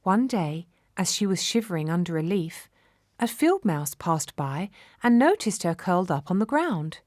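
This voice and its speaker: A woman storyteller reading a scripted children's fairy tale in a measured, expressive way. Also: lowish voice, no room sound, clean studio sound.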